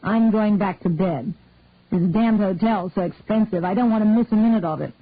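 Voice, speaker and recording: A woman's voice: medium pitch, normal speed, dry recording, lightly acted. A woman reading the part of a grumpy middle-aged husband, with a sulky, grumbling manner. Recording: husky, tinny, dry phone-like sound.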